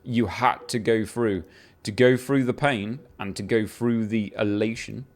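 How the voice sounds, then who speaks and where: A man chatting casually about a video game he has been playing, rambling with restarts and the odd swear, building into excited bursts. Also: fairly low pitch, unhurried. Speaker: gaming video creator. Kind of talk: casual unscripted game talk to camera.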